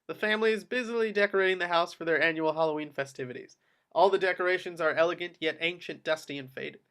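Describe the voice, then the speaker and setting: Male narrator, fairly high, bright forceful tone, reading scripted action description. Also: quick pace, clear, resonant tone. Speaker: narrator reading stage directions. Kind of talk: scripted narration for a table read.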